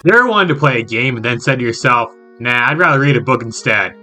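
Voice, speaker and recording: A man's voice: mid-range voice, lively intonation, fast, sharp tone. A man narrating a scripted comedic video review in a playful, exaggerated way with jokey asides. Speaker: video game reviewer, channel host. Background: background music.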